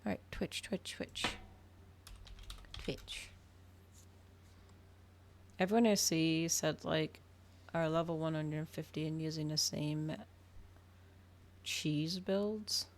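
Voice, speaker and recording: A woman's voice: low-pitched, fairly even intonation, slow pace, long pauses. A woman livestreamer chatting casually to her viewers, rambling, trailing off and thinking aloud. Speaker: livestreamer hosting an ASMR and gaming channel.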